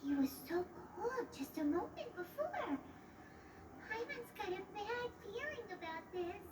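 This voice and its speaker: A woman voicing a tiny, wary, childlike girl companion in a video game, squeaky and fretful. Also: very animated, measured pace, heavily acted. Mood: worried, suspicious. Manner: speaks of herself in third person, fretful. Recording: distant, echoey, very noisy game audio.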